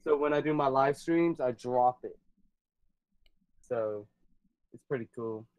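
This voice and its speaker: A man's voice: medium pitch, a little slow, a little mellow, clear, resonant tone, no room sound. Man in his twenties, rambling casual chat, mid-range voice, dry close sound. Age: 20s. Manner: laid-back, rambling with many ums. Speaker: podcast co-host. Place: home streaming room.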